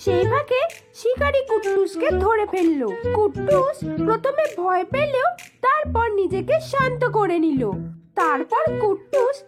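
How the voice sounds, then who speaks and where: A woman narrating scripted moral tales for children in a deliberate, phrase-by-phrase storytelling manner. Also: very high-pitched, expressive. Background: music.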